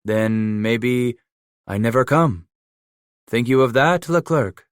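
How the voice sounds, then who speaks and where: A man's voice: medium pitch, expressive, slow pace, clean tone, no room sound, clean studio sound. A man voicing a giant, scarred frontier killer who speaks broken English, mocking and menacing. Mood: taunting. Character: giant scarred French-Canadian frontier killer, cunning, playful.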